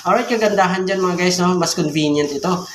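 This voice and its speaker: A man's voice: high voice, fairly quick. A man with a Filipino accent presenting a casual unboxing tutorial as a vlogger, explaining step by step and often checking in with the viewer. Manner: repeats check words like "alright" and "okay", explanatory. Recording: echoey room with background noise and clicks.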